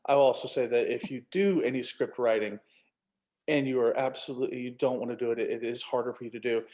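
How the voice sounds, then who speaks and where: A man giving halting explanations as a guest in a casual discussion. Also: medium pitch, a little mellow. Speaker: guest author.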